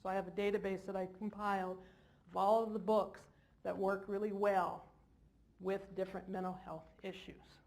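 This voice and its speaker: A woman's voice: medium pitch, flat delivery, normal speed, gravelly, darkish tone. A woman clinician giving an informal lecture in a large hall, with jokey, self-deprecating asides. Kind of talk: informal lecture to a live audience.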